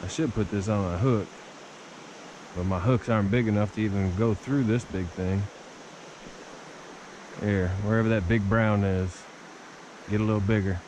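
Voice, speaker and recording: A man's voice: lowish voice, fairly even intonation, normal speed, pauses often, noisy background. An American man giving casual, plainspoken first-person commentary as an outdoor vlogger, recorded on a body-worn camera in the woods. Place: outdoors in woods beside a river. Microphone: body-worn action camera.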